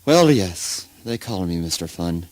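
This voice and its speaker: A man playing an unruffled, slyly genial priest in a spoken word play, measured and understated. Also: low voice, sing-song, a little mellow, no room sound, poor audio. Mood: sly, calm.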